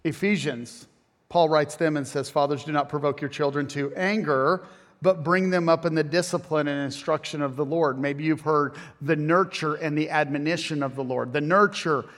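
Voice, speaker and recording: Casual joking male preacher, higher voice. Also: normal speed, fairly bright tone. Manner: casual, self-deprecating, joking asides. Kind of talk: conversational sermon.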